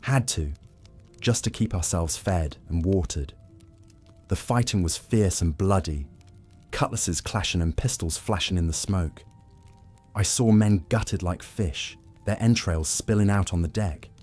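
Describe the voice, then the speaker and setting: A man voicing a grizzled, world-weary old pirate with a rough, raspy voice, telling grim tales in sailor dialect for a story. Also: low-pitched, unhurried, darkish tone, faint music behind. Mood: intense, grim.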